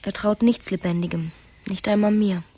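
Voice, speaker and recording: Woman as determined, troubled wife, radio play, husky, mid pitch, intimate, pointed delivery. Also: steady tone, normal speed, warm tone, natural voice. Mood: uneasy, confiding. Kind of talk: German radio play acting.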